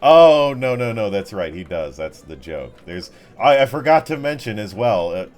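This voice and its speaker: Low-voiced man running a tabletop game. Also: sing-song, moderate pace, a little mellow. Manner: casual, descriptive. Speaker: game master. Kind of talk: improvised tabletop game narration. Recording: music behind the voice.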